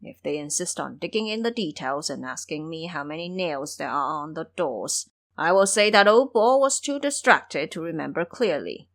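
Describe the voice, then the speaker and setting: A woman's voice: deep voice, lively intonation, normal speed. A woman voicing a foolish, cowardly male pig disciple in an audio drama, blustering and whining through lies. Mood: scheming.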